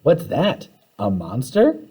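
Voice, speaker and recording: A man voicing curious, excitable young school children in a storybook, wide-eyed and questioning. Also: medium pitch, slow, breathy edge, full character voice. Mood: alarmed. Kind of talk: children's storybook read-aloud.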